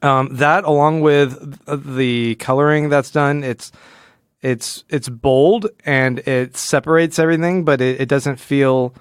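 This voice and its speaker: A man's voice: mid-range voice, steady tone, measured pace, smooth, no room sound, studio quality. A man co-hosting a podcast, talking casually and analytically, often rephrasing himself as he explains. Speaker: podcast co-host.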